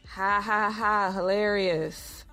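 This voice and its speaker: A woman's voice: mid-range voice, steady tone, slow pace, bright, forceful tone, smooth, soft background music. An actress playing a young married woman in an audio drama, narrating and talking in a wry, conversational way. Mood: playful. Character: Iris, weary young married woman narrating her own story. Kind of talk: first-person audio drama narration and dialogue.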